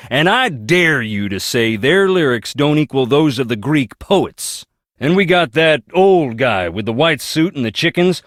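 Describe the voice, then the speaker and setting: A man's voice: medium pitch, no room sound, studio quality, close to an everyday voice. An actor playing a folksy, wry Southern man who hunts ghosts, speaking with a Southern US accent and a drawl in a video game. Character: folksy Southern ghost hunter, wry, haunted by family losses. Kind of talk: video game character dialogue.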